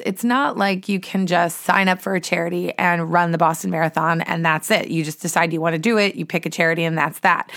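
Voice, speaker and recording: Hoarse-voiced woman podcast host. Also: fairly low pitch, fairly bright tone. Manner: earnest, enthusiastic. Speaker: podcast host and running coach. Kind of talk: solo podcast talk.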